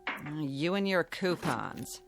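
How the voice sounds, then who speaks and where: An actress playing a weary, impatient wife in a radio drama, dryly sarcastic and scolding. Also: female voice, low-pitched, expressive, slow, vocal fry, soft background music, full character voice. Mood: exasperated.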